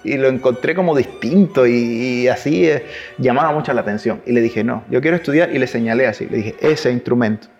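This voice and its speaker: A man from Cuba with a Cuban accent touched by Chilean, telling stories in a relaxed interview, with restarts and laughs. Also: medium pitch, a little fast, lo-fi sound, faint music behind. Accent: Cuban Spanish with Chilean traces. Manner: warm anecdotal telling, restarts, laughs at his own stories. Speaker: interviewee, double bass player. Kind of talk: relaxed conversational storytelling.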